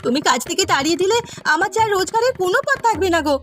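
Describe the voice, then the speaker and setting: Cartoon village maid, voiced by a woman: young, earnest, imploring, very high-pitched. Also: animated, very fast, a bit bright. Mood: pleading. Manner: plaintive, imploring. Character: poor, earnest, pleading young village housemaid. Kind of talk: Bengali cartoon story voice acting.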